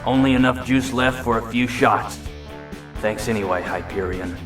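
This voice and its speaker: A cocky, improvising robot fighter in an audio drama , played by a man. He has a fairly low voice and a wry, self-narrating delivery, with clear music behind him. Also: normal speed, close to an everyday voice. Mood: wry, defiant. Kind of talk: audio drama acting, inner monologue. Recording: music under the voice.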